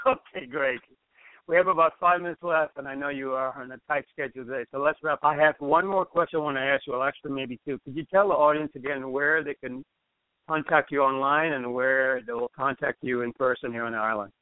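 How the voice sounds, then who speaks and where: A male radio host leads an interview, jumping in with eager reactions and easy laughs. His pitch is ordinary for a man. The sound is dry and squeezed, like a phone line. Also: steady tone, no echo. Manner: enthusiastic, quick to react and laugh.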